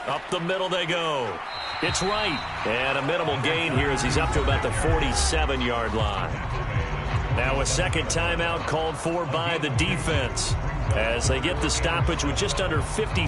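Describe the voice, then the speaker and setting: An American man acting as a video game football announcer, pitched a little high. He calls each play in polished broadcast style, with loud music behind him. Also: moderate pace, natural voice. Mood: steady, focused. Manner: crisp broadcast delivery, describing each play. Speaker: play-by-play sports commentator.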